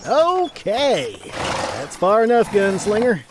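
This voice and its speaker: A folksy old drawling Western rogue. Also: male voice, high-pitched, wildly swooping pitch, noisy background, exaggerated voice. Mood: wry, guarded. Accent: American Southern or Western drawl. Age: older. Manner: folksy, teasing, drawn-out delivery. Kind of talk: Western audio drama acting.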